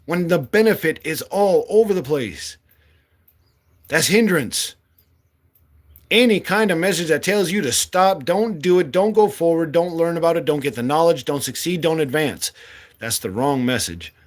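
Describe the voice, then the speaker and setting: Blunt fired-up man, higher voice. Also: lively intonation, normal speed. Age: 40s. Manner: blunt, swearing, fiery. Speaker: political candidate. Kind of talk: impassioned monologue.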